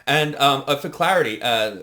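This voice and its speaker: A man's voice: medium pitch, animated, normal speed, sharp tone. A man co-hosting a casual, conversational reaction chat. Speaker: co-host.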